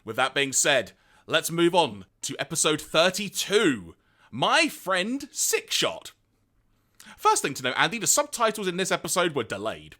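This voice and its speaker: Slightly hoarse man co-hosting a podcast. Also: measured pace. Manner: animated, chatty, sweeps up and down in pitch. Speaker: podcast co-host. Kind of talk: casual podcast chat.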